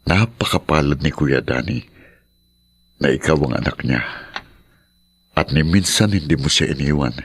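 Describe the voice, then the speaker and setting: Middle-aged uncle, bass-deep husky voice, kindly, supportive, dry close sound, Filipino radio drama. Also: male voice, expressive, moderate pace, long pauses, no room sound, exaggerated voice. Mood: concerned, reassuring.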